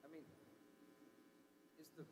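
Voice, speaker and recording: A man speaking as a commissioner at a hearing, plainspoken and conversational in his questions and remarks. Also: slow pace. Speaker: review commissioner. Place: indoor public meeting room. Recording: echoey meeting room with background noise.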